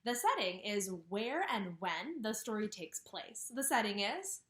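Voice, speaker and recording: A woman's voice: mid-range voice, unhurried. A woman teaching kindergarten from home, reading a story aloud and asking questions she then answers. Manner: animated, asks questions and answers them herself. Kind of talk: read-aloud lesson for young children.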